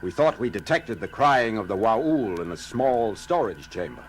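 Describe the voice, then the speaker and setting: A man's voice: lowish voice, sing-song, moderate pace, slight background noise, poor audio. A man playing a logical alien starship science officer, speaking formally and precisely in a science fiction audio drama. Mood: measured. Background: loud dramatic music.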